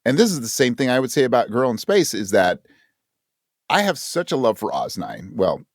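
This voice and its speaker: A man of average pitch talks as a guest in a casual podcast interview, with very lively intonation. He is earnest and emphatic and often voices imagined remarks as he explains. The sound is close and dry, without room echo. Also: moderate pace. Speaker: podcast guest and audio drama creator.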